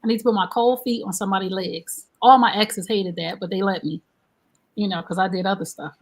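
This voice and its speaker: A woman, hosting a live-streamed relationship talk show in a chatty, animated way. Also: medium pitch, fairly quick. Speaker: host.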